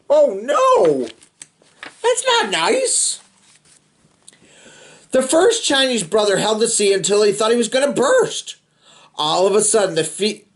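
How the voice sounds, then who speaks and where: Male storybook reader, highly animated pitch, playful and expressive, drops into casual asides for kids. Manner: playful, expressive, chatty asides to young listeners. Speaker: storybook reader, host of a read-aloud show. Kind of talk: animated read-aloud of a children's picture book with casual asides.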